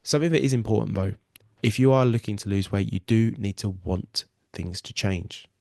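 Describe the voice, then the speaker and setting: A man's voice: lowish voice, moderate pace, gravelly, a little mellow, no echo, clean studio sound. A man with a nasal cold, hosting a live weight loss webinar in an earnest, reassuring way and often repeating himself. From England. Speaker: weight loss coach and podcast host.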